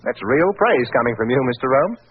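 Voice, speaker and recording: An actor playing a folksy, deferential police constable with a villainous side, in 1940s radio drama. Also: male voice, medium pitch, very animated, brisk, close to an everyday voice. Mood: respectful, eager. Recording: muffled, hissy old radio broadcast.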